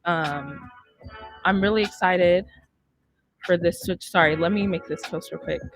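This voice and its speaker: A woman's voice: lowish voice, unhurried, no echo, soft background music. A young woman hosting a casual chat in an informal, loosely improvised way, close on a studio microphone. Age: young adult. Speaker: podcast host. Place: podcast studio, indoors. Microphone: close studio microphone on boom arm.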